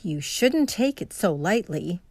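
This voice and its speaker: An actress playing a superstitious, earnest diner waitress with a folksy manner in a radio mystery drama. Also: female voice, lowish voice, very animated, measured pace, darkish tone, no room sound, full character voice. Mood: earnest warning.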